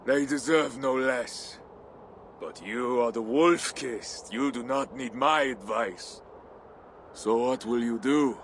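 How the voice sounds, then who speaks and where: An actor playing a stern, contemptuous hardened warrior in a video game, speaking curtly. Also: male voice, medium pitch, slow, slight background noise. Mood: scornful. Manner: curt, disdainful. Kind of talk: video game character acting. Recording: music behind the voice.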